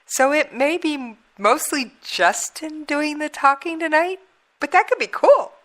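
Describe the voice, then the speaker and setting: A woman's voice: sits high, lively intonation, a little slow. A woman hosting an internet radio talk show, chatty and folksy, who is losing her voice.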